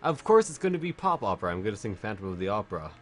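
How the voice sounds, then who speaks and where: A man's voice: fairly low pitch, big pitch swings, racing. A Canadian man chatting casually and joking while streaming a video game, swearing now and then. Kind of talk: casual chat while gaming.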